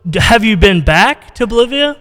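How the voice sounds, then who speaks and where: Higher-voiced American man in a reverberant room. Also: animated, moderate pace, a bit bright. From United States, Ohio. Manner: rambling, informal, peppers talk with "you know". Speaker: podcast host, interviewer. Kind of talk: casual video-call interview. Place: indoors, reverberant room on a video call. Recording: roomy, long reverb.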